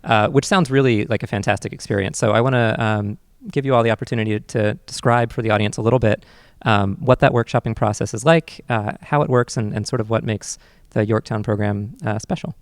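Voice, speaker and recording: A man's voice: fairly low pitch, brisk, dry recording, clean studio sound. A man hosting an interview, putting questions in a conversational way. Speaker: interview host.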